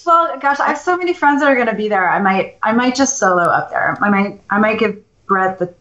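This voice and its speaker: A woman's voice: fairly high pitch, expressive, a little fast. Enthusiastic woman guest, echoey lo-fi audio. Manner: enthusiastic, warm banter. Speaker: podcast guest. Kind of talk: casual chat.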